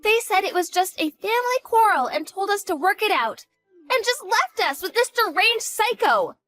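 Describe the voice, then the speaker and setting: A woman's voice: very high-pitched, big pitch swings, moderate pace, fairly bright tone, soft background music, full character voice. A woman voicing a devoted college-age daughter who talks earnestly and grows panicked in a dramatized story. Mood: bitter.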